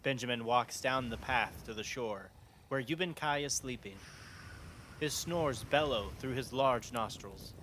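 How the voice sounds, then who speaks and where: Measured male audio drama narrator. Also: mid-range voice, a bit bright, a little hiss or hum.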